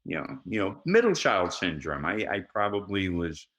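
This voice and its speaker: Deep-voiced man telling stories easily. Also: sing-song, moderate pace, fairly bright tone. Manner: easygoing, laughs often, many restarts. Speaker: actor guest. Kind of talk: conversational storytelling.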